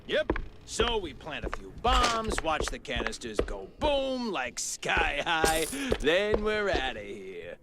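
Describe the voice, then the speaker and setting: A dim, obedient henchman muddles along in a creaky, fairly high voice. He is a video game goon heard through very loud noise. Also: sing-song, slow, exaggerated voice. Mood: casual, dopey. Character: dim, obedient male henchman plotting dirty work. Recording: loud noise over quiet voice.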